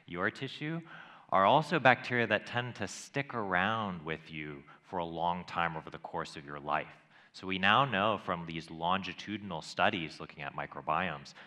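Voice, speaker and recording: A man's voice: low-pitched, pushed and bright. A man, a microbiologist on a panel, explaining his research with many ums.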